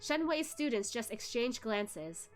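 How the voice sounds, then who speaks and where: A woman's voice: on the high side, big pitch swings, unhurried, soft background music. A young adult woman hosting a read-along, narrating a novel. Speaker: book club host reading aloud.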